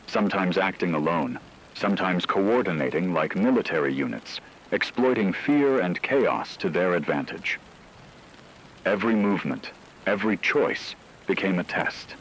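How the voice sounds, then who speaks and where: Man, deep voice, horror story narrator, solemn measured delivery, faint rain-like noise behind. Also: a bit bright, low-quality recording. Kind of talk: scripted apocalyptic horror narration.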